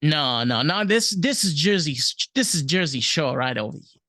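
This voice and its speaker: A woman with a New York accent co-hosting a podcast, animated and playfully defensive, stumbling over quick restarts. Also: low-pitched, no echo, clean studio sound. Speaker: podcast co-host. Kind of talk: casual chat.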